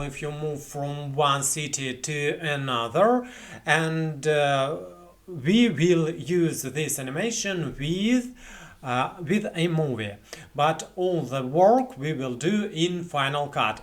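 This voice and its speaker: A young man with a Russian accent, a non-native English speaker, talking through a software tutorial with lots of fillers and restarts. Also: fairly high pitch. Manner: hesitant, many fillers and restarts. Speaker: tutorial host. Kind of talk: screen-recorded software tutorial. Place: small indoor room at a computer.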